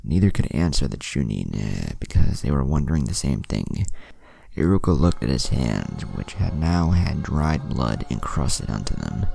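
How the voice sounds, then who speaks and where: A man's voice: a bass voice, sing-song, normal speed, vocal fry, mellow. A man narrating a dramatic fan fiction story as a scripted reading. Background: music.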